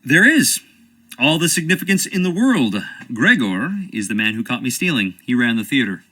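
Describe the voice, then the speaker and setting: A man's voice: medium pitch, animated, normal speed, sharp tone. An actor playing a charming, evasive young stage actor with an American accent, wry and theatrical as he dodges questions. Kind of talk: video game voice acting.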